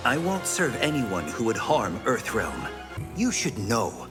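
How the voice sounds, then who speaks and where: An actor playing a calm, principled young monk warrior in a video game, earnest and sententious. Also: male voice, mid-range voice, takes it slowly, over music. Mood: earnest resolve.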